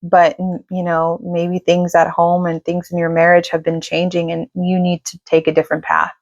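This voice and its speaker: A woman giving a casual tarot reading to her viewers, chatty and reassuring, with lots of fillers and a slightly raspy voice. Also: fairly low pitch, completely flat delivery, moderate pace, no room sound, dull audio. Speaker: tarot reader.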